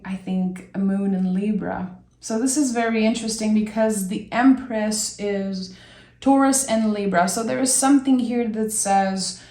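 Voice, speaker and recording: A young woman with a raspy voice from being sick, giving a gentle, reflective tarot reading and thinking aloud as she draws cards. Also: medium pitch, reverberant. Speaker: tarot reader. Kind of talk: soft, slow tarot reading.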